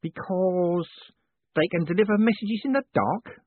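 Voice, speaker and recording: Man doing a shifty schemer, pitched up. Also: no echo. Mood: bluffing. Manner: halting, improvising. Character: shifty, watchful male schemer bluffing through excuses. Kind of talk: audiobook character voice. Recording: narrow phone-like band.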